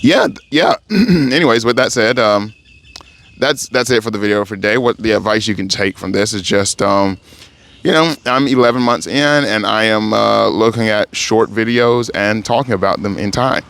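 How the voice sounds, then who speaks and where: A man speaks casually in a vlog about his language study. His voice sits low and has a gravelly, fry-edged texture. He rambles, corrects himself as he goes, and turns encouraging toward his viewers. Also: normal speed, a bit bright. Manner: rambling, self-correcting, motivational. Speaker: language-learning vlogger. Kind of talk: casual spoken update to camera. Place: home in Thailand.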